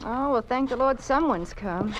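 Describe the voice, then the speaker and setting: Woman acting an anxious wife, high and animated. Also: moderate pace, lightly acted. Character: concerned, anxious professor's wife. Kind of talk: 1950s radio drama acting. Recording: noisy, dull vintage radio recording.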